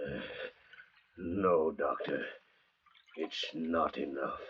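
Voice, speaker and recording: A man's voice: a bass voice, fairly even intonation, extremely slow, breathy edge, heavily acted. A man playing a bitter, thirst-crazed castaway in old radio suspense style, sardonic and mock-polite, on a muffled vintage recording. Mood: mock-polite menace. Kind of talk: 1940s-50s radio suspense acting.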